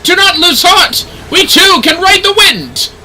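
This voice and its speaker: A man's voice: very high voice, sing-song, a little slow, sharp tone, a little hiss or hum, pitched above the performer's own voice, heavily acted, rough recording. A man voicing fervent fantasy knight commanders in a video game, declaiming urgent battle cries to rally troops. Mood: rousing, defiant.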